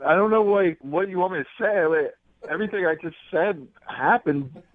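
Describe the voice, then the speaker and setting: A man's voice: sits high, moderate pace, no echo. A man chatting casually and hesitantly as a radio show caller, peppering his talk with "like", over a phone line.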